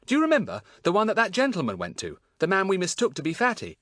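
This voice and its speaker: A man's voice: high voice, huge pitch swings, fast talker, a bit bright, dry recording, clean studio sound, voice pushed up, full character voice. A man voicing a keen, good-natured schoolboy in a children's audiobook, earnest and friendly. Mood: eager recounting.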